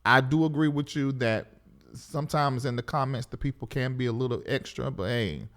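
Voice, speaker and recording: A man's voice: medium pitch, moderate pace, a bit bright. A man hosting a live call-in talk show, speaking casually in a conciliatory, reflective manner.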